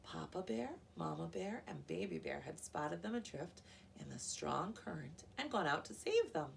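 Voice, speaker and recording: A woman teacher reading a picture book to young children in an expressive way, often prompting them with questions. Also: deep voice, moderate pace, creaky, distant mic, slight background noise. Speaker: preschool teacher.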